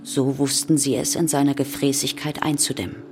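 An actress playing a grave, sober chronicler of a plague in a scripted radio drama. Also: female voice, very deep voice, little pitch movement, a little fast. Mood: sober, matter-of-fact. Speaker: radio play narrator.

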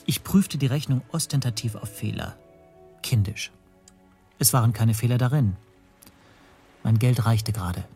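Husky, hushed, brooding male narrator. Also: medium pitch, a little slow, pauses often, soft background music. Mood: shaken. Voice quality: husky, breathy, often near whisper. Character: anxious, self-doubting man narrating his own troubled story. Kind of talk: first-person radio play narration.

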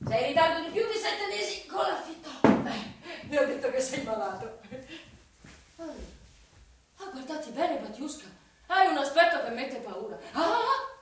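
Nosy bossy maid in a radio play, high voice, scolds but cares, super echoey and noisy. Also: female voice, sing-song, moderate pace. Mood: teasing concern. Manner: brusque, nagging, familiar. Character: blunt, nosy servant woman, scolding yet caring. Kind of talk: theatrical radio drama acting. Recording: echoey, distant, noisy drama recording.

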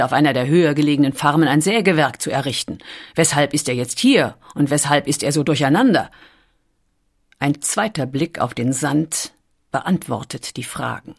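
A woman reading scripted documentary narration with a measured, dramatic storytelling delivery. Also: low voice, breathy edge.

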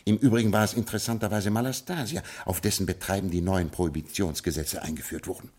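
An actor playing a ruined, absent-minded Scottish lord in a 1950s radio drama, with a stately, fatalistic manner. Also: male voice, low-pitched, fast, a little mellow, breathy edge. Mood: resigned stately.

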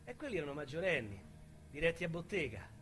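A rough young Roman street criminal making a confession in a radio play. His voice is high and bright, with a sharp edge. He tells it vividly in thick slang, halting and agitated. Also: sing-song. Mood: subdued, bitter. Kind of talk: dramatic radio monologue, colloquial storytelling.

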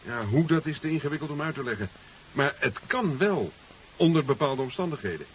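A man's voice: fairly high pitch, sing-song, brisk. A man acting an earnest physicist in a 1970s radio drama, explaining things in a hesitant way. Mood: insistent. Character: male physicist doctor, earnest, explaining lab findings. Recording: muffled 1970s radio recording, faint hiss.

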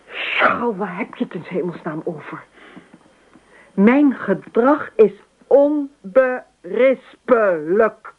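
An actress playing a dreamy, coolly ironic and detached wife in a radio play, with a dry, unruffled manner. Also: female voice, medium pitch, expressive, takes it slowly, phone-quality sound. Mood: dry, teasing. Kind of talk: radio play acting in dialogue.

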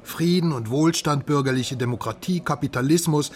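A man's voice: a little high, moderate pace, natural voice. Musing, optimistic armchair philosopher, played by a man. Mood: musing, confident. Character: philosophizing, optimistic man drinking beer with his friend. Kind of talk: German radio drama acting.

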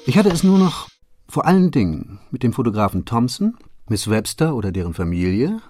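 An actor playing a shrewd detective in a German radio play, laying out his case in a deliberate, formal and precise manner. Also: mid-range voice, sing-song, dark tone, slight background noise, natural voice. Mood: methodical, assured. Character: shrewd, methodical male detective recounting his solved case.

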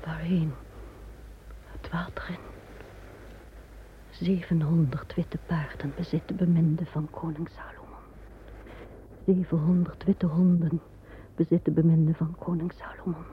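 Een vrouw speelt een angstige, fluisterende koningin. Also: female voice, deep voice, level tone, a little slow, pauses often. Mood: frightened whisper. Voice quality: hushed, whispering, frightened. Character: the Queen of Sheba, proud frightened visionary queen. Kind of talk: old Dutch radio drama acting. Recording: tinny old radio recording, faint hiss.

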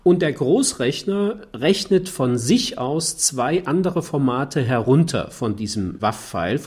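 A man giving a technical explanation in an interview as an expert, halting now and then to find his wording. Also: medium pitch, very animated, a little mellow, husky.

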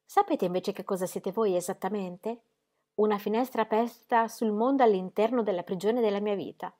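A woman's voice: mid-range voice, normal speed, warm tone, voice pushed up, close to an everyday voice. A woman voicing a romantic male artist who speaks in lofty, poetic declarations. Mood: tender.

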